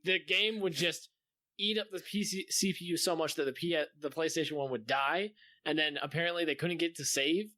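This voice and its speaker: A man with a fairly high, bright and forward voice, chatting as a podcast co-host. He tells things in slangy, exclamatory bursts with casual swearing. The sound is close and dry. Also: normal speed, dry recording. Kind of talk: casual chat, animated storytelling.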